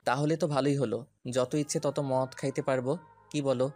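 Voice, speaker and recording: A man acts out a possessive young man from a romantic audio drama. He is brash and commanding, teasing one moment and menacing the next, in a middling male pitch. A music bed plays behind him. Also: steady tone, moderate pace, dark tone, natural voice. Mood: drunk, stubborn. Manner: taunting, stubborn, commanding. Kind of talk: Bengali romantic audio story acting.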